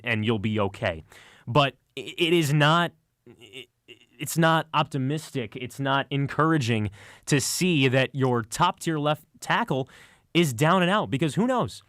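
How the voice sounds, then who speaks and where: Earnest male sports radio analyst, medium pitch. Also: a bit bright, no room sound, clean studio sound. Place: radio studio. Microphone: close studio microphone.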